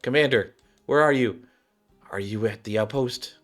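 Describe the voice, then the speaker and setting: A man's voice: medium pitch, normal speed, fairly bright tone, pitched above the performer's own voice, close to an everyday voice. A man voicing a protective game ally urgently warning a commander. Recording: background game music.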